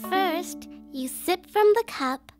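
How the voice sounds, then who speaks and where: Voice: extremely high pitch, expressive, slow, music in the background, full character voice. A performer voicing a polite, earnest little kindergarten girl in a children's cartoon, sweet and careful in manner. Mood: polite, proud.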